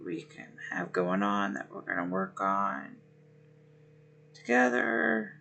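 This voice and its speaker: A man hosting a laid-back stream, his voice worn hoarse and deeply gravelly with heavy fry. He talks slowly and unhurriedly, dropping friendly hellos to viewers between pauses. Faint music plays softly behind him. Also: wildly swooping pitch. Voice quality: rough, gravelly. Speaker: livestream host. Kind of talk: relaxed gaming stream chat, greeting viewers.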